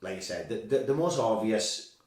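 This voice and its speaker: A man's voice: fairly low pitch, animated, moderate pace, a little mellow. A man, co-hosting a podcast and musing hesitantly, often playing devil's advocate. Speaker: podcast co-host. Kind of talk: casual chat. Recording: echoey room.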